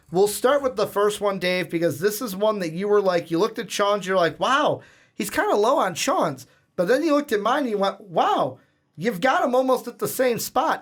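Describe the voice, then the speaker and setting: A man hosting a casual sports discussion in a chatty, joking way. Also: high-pitched. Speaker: sports show host.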